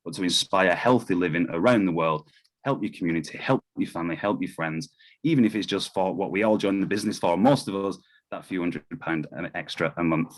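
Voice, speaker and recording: A man with a low voice delivers a business presentation on an online call. He speaks persuasively and directly to the listener, posing rhetorical questions in a motivational style. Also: fairly quick, poor audio.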